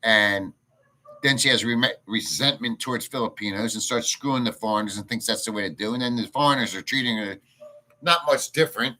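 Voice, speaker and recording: Stream host, male, low-ish voice with a sharp forceful edge, crude rambling rant style. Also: a little fast, low-quality recording. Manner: blunt, crude, rambling, reads chat aloud. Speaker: livestream host. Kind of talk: casual ranting livestream chat. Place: home in a rural province.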